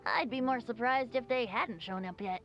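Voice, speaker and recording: A woman's voice: a little high, moderate pace, muffled recording, lightly acted. A woman playing a carefree, playful young male bard in a video game, light and boyish, with a breezy, evasive manner. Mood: casual. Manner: breezy, hesitant, sighing and chuckling between phrases. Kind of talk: animated video game acting. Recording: game music behind voice.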